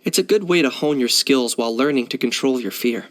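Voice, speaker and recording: A man's voice: mid-range voice, lively intonation, brisk, close to an everyday voice. A man playing a cool, pragmatic young hunter and mercenary in a video game, composed and matter-of-fact with a dry delivery. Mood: reflective, composed. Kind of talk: video game character acting.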